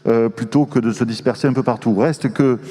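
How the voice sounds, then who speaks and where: A man moderating a panel discussion with measured, formal and courteous phrasing. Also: medium pitch, fairly even intonation, warm tone. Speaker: forum moderator. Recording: reverberant hall sound.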